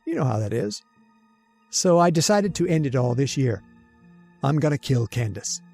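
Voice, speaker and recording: A man's voice: mid-range voice, sing-song, moderate pace, vocal fry, a little mellow, faint music behind. A man playing a henpecked, jittery immortal husband in an old-time radio drama, confiding his story with stammering, plaintive asides. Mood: weary, confiding. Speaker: actor. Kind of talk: old-time radio horror-comedy acting, first-person narration.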